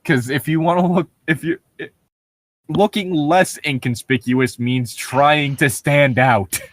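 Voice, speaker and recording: A man's voice: on the high side, fairly even intonation, a little slow, no echo. A man casually riffing and reading aloud in a jokey, sarcastic manner. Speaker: host of a creepypasta reading show.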